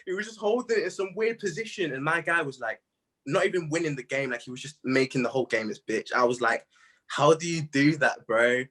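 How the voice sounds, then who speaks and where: A young man chatting casually in an interview, telling stories in an animated, slangy way with plenty of "bro" and "man". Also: a little high, a little fast. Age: young adult. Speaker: music artist being interviewed. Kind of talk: casual chat.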